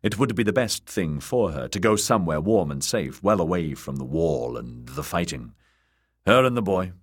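A man's voice: sits low, very animated, moderate pace, vocal fry, slightly rough, exaggerated voice. A man voicing a timid, earnest young male scholar in an audiobook, hesitant and deferential in manner. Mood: nervous, eager. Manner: hesitant, nervous, stressing his words.

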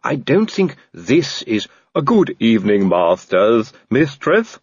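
A man voicing a calm male odd-job servant, stitched together from many men, speaking slowly and politely. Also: medium pitch, breathy edge, dry recording, dull audio, exaggerated voice. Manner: slow, unruffled, oddly polite.

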